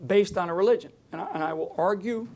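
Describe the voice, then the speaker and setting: A man's voice: sits high, fast, a little mellow. Man giving an emphatic rambling stage talk. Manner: emphatic, rambling, many restarts. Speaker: public speaker on security. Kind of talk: unscripted talk to a live audience. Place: on a stage before an audience.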